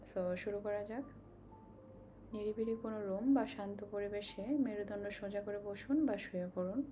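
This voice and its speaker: A woman guides a meditation slowly and calmly, giving instructions with long pauses. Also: mid-range voice, soft background music. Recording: clearly noisy, ambient sound behind voice.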